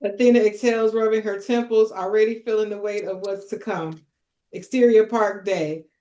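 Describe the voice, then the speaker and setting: A woman's voice: medium pitch, steady tone, moderate pace, dark tone. A woman hosting a script table read, reading stage directions with informal asides.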